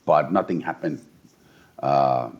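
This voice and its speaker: A man's voice: very deep voice, takes it slowly, a little mellow, lo-fi sound. A Finnish man in his forties from eastern Finland, a tired interview guest who talks bluntly, swears, and restarts his sentences often. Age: 40s. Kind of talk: casual interview talk.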